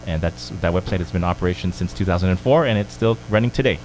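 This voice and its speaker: A man from Toronto, a guest on a radio show, talking quickly and peppering his talk with "you know". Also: sits low, a little mellow, slight background noise, low-quality recording. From Toronto, Canada. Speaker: podcast producer and guest. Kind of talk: radio interview. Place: radio studio.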